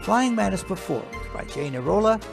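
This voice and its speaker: A gravelly male master of ceremonies reads scripted introductions. His fairly high voice rises and falls in a lively way, with music behind it. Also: moderate pace.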